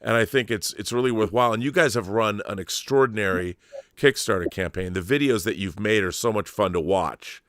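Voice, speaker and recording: A man's voice: on the low side, a little fast, a bit bright, dry recording. A man chatting casually and enthusiastically as an interviewer, earnest and emphatic, often repeating words as he restarts his sentences.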